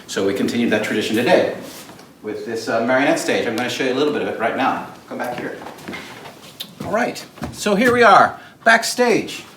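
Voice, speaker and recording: A man gives an unscripted guided tour in a lively, swooping voice with a showman's emphasis. He repeats words for effect as he explains things. The sound is roomy and picked up from a distance. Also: medium pitch, fairly quick. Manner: animated, theatrical showman phrasing with emphatic repeats. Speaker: puppeteer and tour host at a puppet theater. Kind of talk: informal show-and-tell guided tour, unscripted. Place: indoors, backstage and storage rooms of a puppet theater. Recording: echoey, distant mic with background noise.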